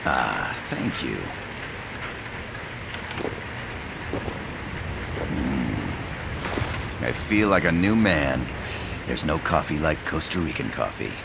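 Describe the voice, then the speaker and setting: An actor playing a grave, courteous older Costa Rican professor, speaking formally and deliberately in a game cutscene. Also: male voice, very deep voice, very animated, creaky, heavy background noise, full character voice. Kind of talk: dramatic video game cutscene acting. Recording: loud music behind.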